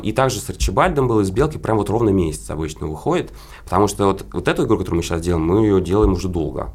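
A man's voice: low-pitched, vocal fry, darkish tone, slightly rough. A man chatting casually as an interview guest about his work, informal and full of hesitant fillers. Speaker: interview guest, game developer.

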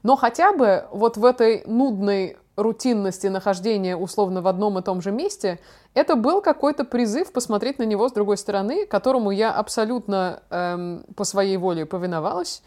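A young adult woman with a medium-pitched voice co-hosts a casual podcast conversation. She shares reflective opinions in articulate, discursive sentences. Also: a little fast, a little mellow. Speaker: podcast co-host.

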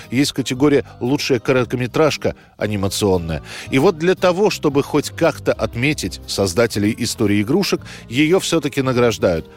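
Male narrator, scripted history documentary delivery, mid-pitched, light music underneath. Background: music bed.

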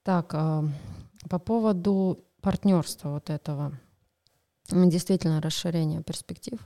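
Female tarot co-host, lowish dark voice, gravelly, thoughtful. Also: steady tone, unhurried. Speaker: podcast co-host, tarot reader. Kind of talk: casual podcast chat.

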